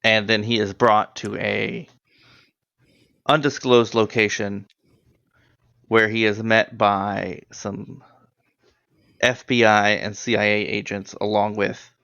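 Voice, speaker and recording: A man narrating a tabletop horror game as game master in a deliberate, plain-spoken way. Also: fairly low pitch, flat delivery, gravelly, pushed and bright, clear, resonant tone, dull audio.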